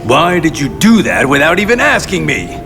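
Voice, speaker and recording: An actor playing a glib, self-important crime boss in a video game cutscene, talking with pompous mock courtesy. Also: male voice, a little high, very animated, moderate pace. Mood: suspicious. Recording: long reverb with loud music behind. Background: music.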